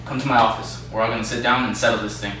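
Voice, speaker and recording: A man's voice: medium pitch, fairly even intonation, racing, roomy sound, recorded from a distance, soft background music, lightly acted. A man playing a commanding, wounded father and master in an amateur readers' theatre piece. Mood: stern. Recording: noisy amateur recording, loud background hum.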